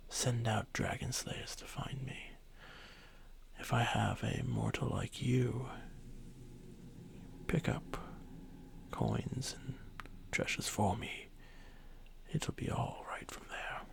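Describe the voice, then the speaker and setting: Man playing a slow, whispering, arrogant ancient dragon. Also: mid-range voice. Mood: haughty, scheming. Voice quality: breathy, creaky half-whisper. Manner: slow, haughty, deliberate. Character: arrogant ancient dragon, male, thousands of years old, domineering. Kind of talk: fantasy ASMR roleplay.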